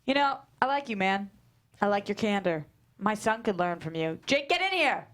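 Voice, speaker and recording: Woman reading middle-aged male mogul, breezy and oblivious, confident, medium-pitched, table read. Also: animated, moderate pace, a bit bright, lightly acted. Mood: magnanimous.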